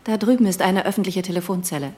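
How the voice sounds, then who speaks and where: A woman's voice: mid-range voice, fast, a little mellow, close to an everyday voice. A woman acting in a radio play.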